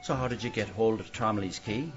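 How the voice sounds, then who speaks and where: An actor playing a guarded, dry-witted career criminal in a radio mystery, speaking tersely. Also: male voice, fairly low pitch, fairly quick, natural voice. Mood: dry, businesslike. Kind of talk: radio mystery drama acting. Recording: dull band-limited audio with music.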